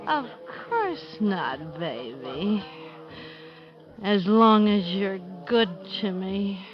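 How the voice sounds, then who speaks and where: Languid, seductive scheming wife. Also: female voice, mid-range voice, sing-song, extremely slow. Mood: coaxing, teasing. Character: seductive, manipulative, bored butcher's wife. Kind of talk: 1950s radio thriller acting. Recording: dull vintage 1950s radio recording, music behind.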